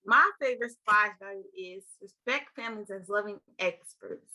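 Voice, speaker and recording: A teenage girl from Milwaukee giving an energetic, scripted youth-leader talk. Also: mid-range voice, normal speed, poor audio. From Milwaukee, Wisconsin. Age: teens. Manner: energetic, upbeat.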